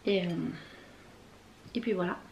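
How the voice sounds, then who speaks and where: A young woman vlogging casually from her bedroom, rambling with lots of fillers and often dropping into a soft whisper. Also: mid-range voice, fairly even intonation, measured pace, a little hiss or hum. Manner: chatty and rambling, many fillers and trailing phrases. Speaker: beauty vlogger. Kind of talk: casual chat to camera.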